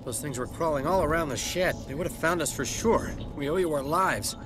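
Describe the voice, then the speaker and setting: An actor playing a plain-spoken, defensive farmer who does small-time smuggling, in a video game scene. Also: male voice, on the high side, a little fast, natural voice. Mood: shaken, grateful. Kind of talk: video game cutscene acting. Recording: game audio with music underneath.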